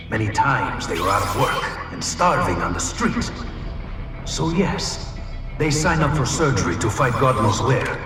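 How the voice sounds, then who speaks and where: A man's voice: medium pitch, lightly acted. A man voicing a cynical, mocking mercenary swordsman in a game cutscene, recorded with a roomy, distant sound. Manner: sneering, taunting.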